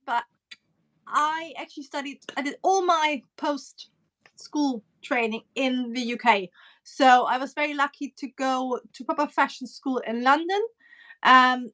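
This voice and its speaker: A woman's voice: high voice, bright, forceful tone. A woman from Germany with a German accent, a pattern cutting teacher chatting on a live stream, with frequent fillers and restarts. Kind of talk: casual live-stream chat.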